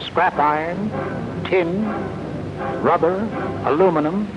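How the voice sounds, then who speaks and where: A man's voice: sits high, takes it slowly. An American man reading scripted 1940s propaganda film narration in an emphatic, declamatory way. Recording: dull 1940s film soundtrack, music behind.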